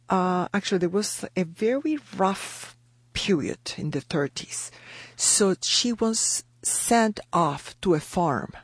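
A woman's voice: fairly low pitch, vocal fry, a little mellow, breathy edge, no echo. Middle-aged woman, reflective radio guest, dry close mic. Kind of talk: interview storytelling.

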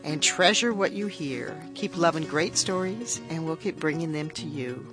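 Low-voiced chatty woman radio host. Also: moderate pace, over music. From US–Mexico border region. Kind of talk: casual radio interview.

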